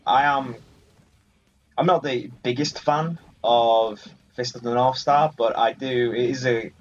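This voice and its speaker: A man's voice: mid-range voice, slight background noise. Casual man commentating gameplay, slightly muffled. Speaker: let's play host. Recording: muffled sound, game music behind. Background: video game music.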